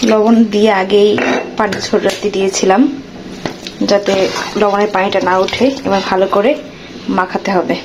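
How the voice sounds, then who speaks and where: A woman's voice: mid-range voice, little pitch movement, normal speed, pauses often. A woman narrating her home cooking step by step in a chatty, casual way. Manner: chatty, step-by-step kitchen commentary. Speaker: cooking vlogger. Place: home kitchen. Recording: loud background noise.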